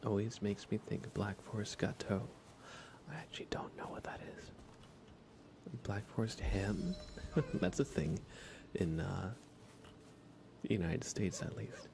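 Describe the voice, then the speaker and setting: A soft-spoken man rambling through relaxed ASMR live gaming commentary, musing aloud hesitantly and often slipping into a whisper. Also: lowish voice, measured pace, gravelly, long pauses. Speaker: livestream game streamer.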